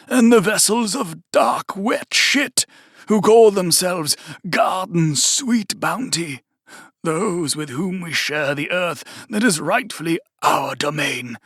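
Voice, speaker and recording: A man's voice: sits high, fairly bright tone, no echo, clean studio sound, pitched above the performer's own voice, exaggerated voice. A man doing a pompous old cult leader. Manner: solemn, archaic, stiff delivery.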